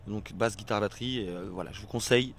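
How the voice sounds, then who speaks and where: A man's voice: on the low side, fast. A man from a band recommending another group in a casual festival interview, with eager restarts. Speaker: band member.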